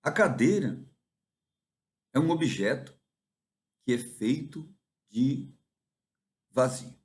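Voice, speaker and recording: A man from the interior of São Paulo, Brazil, talking in a rambling, reflective monologue, musing and often pausing mid-thought. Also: mid-range voice, animated.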